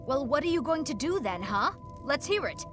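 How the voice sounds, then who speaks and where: A woman's voice: high-pitched, big pitch swings, normal speed, over music. A woman playing a spirited, teasing young woman in a video game, pert and conversational. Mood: defiant. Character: spirited young shopkeeper's sister, headstrong and teasing. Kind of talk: video game character acting.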